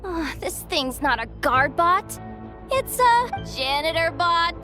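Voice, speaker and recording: A woman playing a plucky, nervous young hacker thief in a video game, expressive and talking herself through things. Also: extremely high pitch, slow pace, over music, heavily acted. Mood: excited. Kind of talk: animated video game voice acting.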